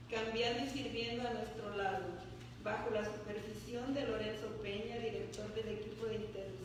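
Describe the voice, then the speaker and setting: A woman with a fairly high voice addresses a congregation, reading a mission report aloud with asides. She stumbles over words and keeps tagging her sentences with a questioning "right?". The sound is a booming church hall heard from a distant mic, with steady background noise. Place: church sanctuary.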